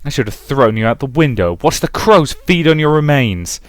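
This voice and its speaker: A man's voice: medium pitch, very animated, normal speed, dry recording, clean studio sound, pitched above the performer's own voice, close to an everyday voice. A man voicing an abusive, volatile mother in a visual novel, with theatrical, emphatic delivery. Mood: furious, menacing. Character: abusive mother, volatile, domineering, cloying when remorseful. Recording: music behind the voice.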